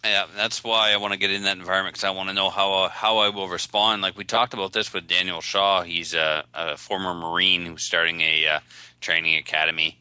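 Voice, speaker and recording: Guy co-hosting a podcast, low voice, really sharp and forward, dry sound. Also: flat delivery, fairly quick, smooth, dry recording. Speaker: podcast co-host. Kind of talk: casual chat.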